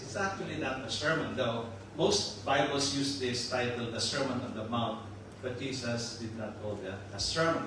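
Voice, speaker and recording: Older Filipino-accented male pastor, chatty and joking, distant mic in a roomy hall. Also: mid-range voice. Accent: Filipino with some Australian. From Philippines. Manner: joking and chatty, laughs often. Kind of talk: casual sermon. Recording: echoey far-off room mic with noise.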